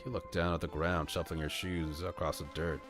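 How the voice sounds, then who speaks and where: A man's voice: sits low, little pitch movement, a little fast. A man streaming a live read-aloud of a visual novel, narrating scenes and dropping casual asides. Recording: music behind the voice. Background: background music.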